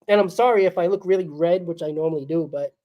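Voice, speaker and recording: A woman's voice: lowish voice, quick pace, muffled recording. A woman hosts a solo postgame fan show, ranting bluntly and with exasperation while sick, her voice crackling and coughing.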